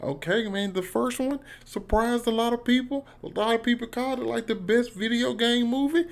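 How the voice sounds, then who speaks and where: A man's voice: very high voice, normal speed, vocal fry. A man with an American accent giving a casual, slangy, emphatic one-take review as a YouTuber. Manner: slangy, informal, excitable, emphatic.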